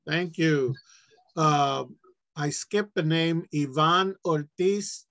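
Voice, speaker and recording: A man's voice: on the high side, drawn-out words, a bit bright, no echo. A man hosting a group meeting on a video call, introducing members one by one in an informal way. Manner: informal, with fillers. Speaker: meeting host. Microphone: computer mic on a video call.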